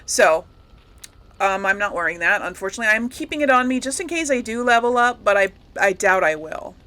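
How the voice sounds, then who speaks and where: A woman's voice: medium pitch, animated, fairly quick, sharp tone. A woman giving casual, rambling let's-play commentary to viewers, thinking aloud as she goes. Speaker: gaming video host.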